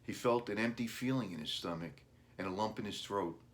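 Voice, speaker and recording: A man with a deliberate manner, an author reading a storybook aloud for children. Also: lowish voice. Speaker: author reading own book.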